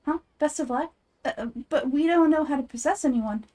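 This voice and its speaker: A woman voicing an excitable, candid little fairy companion from a game, perky and easily flustered. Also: high-pitched, fairly quick, close to an everyday voice. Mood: puzzled.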